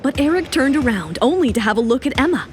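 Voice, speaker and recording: A woman reading scripted narration for an audio drama with smooth, even storytelling. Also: sits high, fast, slight background noise.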